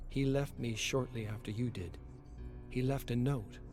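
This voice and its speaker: A man voicing an eerie, pitying woman who speaks solemnly and cryptically in a dark storybook reading. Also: mid-range voice, steady tone, moderate pace, faint music behind, natural voice. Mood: calm, cryptic.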